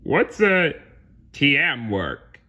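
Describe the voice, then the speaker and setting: Guy doing tiny sly cartoon villain, theatrical, drags words out, raspy n sharp. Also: mid-range voice, very animated, slow pace, pitched above the performer's own voice, exaggerated voice. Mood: feigned innocence. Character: small scheming male cartoon villain, power-hungry and sly.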